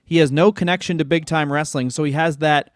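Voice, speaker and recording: A man co-hosts a podcast with eager, profane chatter and easy laughter. His voice is smooth and clear and sits slightly high. The recording is close and dry. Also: steady tone, fairly quick, no echo. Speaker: podcast co-host. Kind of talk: casual comedic chat.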